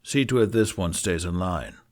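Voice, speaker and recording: A stern and imposing knight with a low voice, acted by a male narrator. He speaks formally, as in a fantasy storybook. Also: moderate pace, close to an everyday voice. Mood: stern, warning.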